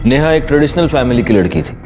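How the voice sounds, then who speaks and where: A stern police officer pressing suspects with firm accusations, played by an actor. He speaks in a mid-range voice, with loud dramatic music underneath. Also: medium pitch, lively intonation, quick pace, natural voice, low-quality recording. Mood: accusing.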